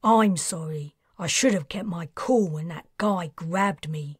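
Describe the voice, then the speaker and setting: A woman voicing a remorseful mercenary, lower and subdued. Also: measured pace, slightly rough, dry recording, clean studio sound. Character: mercenary, regretful and apologetic.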